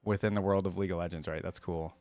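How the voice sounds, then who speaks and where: Very low-voiced woman, musing casually. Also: steady tone, fast, warm tone, dry recording, soft background music. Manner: musing, inquisitive, often checking with "right?". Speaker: reaction video host. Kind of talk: casual reaction commentary on game voice lines.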